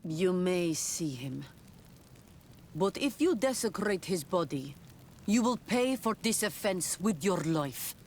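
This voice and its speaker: Slow, solemn, proud island leader. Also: female voice, on the low side, lively intonation, darkish tone, slight background noise. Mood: stern, reproachful. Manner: solemn, deliberate, grave. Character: proud, stern island clan leader, distrustful of outsiders. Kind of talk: video game cutscene acting.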